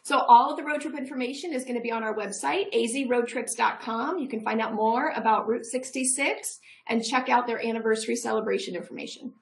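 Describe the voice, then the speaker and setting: Upbeat high-voiced woman on a video call. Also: a little fast, gravelly, hardly any pauses. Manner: upbeat, promotional. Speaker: tourism office spokesperson. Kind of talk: guest on a TV morning show. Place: home room, indoors.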